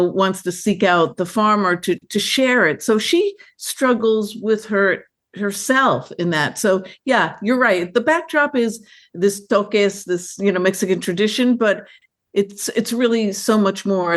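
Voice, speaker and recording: A woman filmmaker being interviewed, telling stories about her work in a lively, chatty way with many fillers and restarts. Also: mid-range voice. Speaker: filmmaker guest. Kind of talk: conversational interview.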